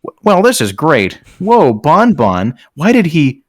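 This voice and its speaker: A man's voice: a little high, huge pitch swings, slow pace, no echo, clean studio sound. A man reading fan fiction aloud in a mock dramatic style, with amused, incredulous casual banter.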